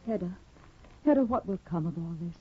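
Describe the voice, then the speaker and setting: An actress playing a timid, anxious young woman in a 1950s radio drama, with a breathy, pleading, nervous delivery. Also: fairly low pitch, animated, a little fast, over music, natural voice. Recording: dull lo-fi 1950 radio recording.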